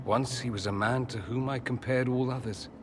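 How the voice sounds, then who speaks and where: An actor playing a suave mage in a video game, dry-witted and dramatic with a wounded streak. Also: male voice, medium pitch, fairly even intonation, normal speed, background noise, natural voice. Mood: somber. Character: suave, theatrical mage man, wry and wounded. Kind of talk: video game cutscene acting. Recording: music behind the voice.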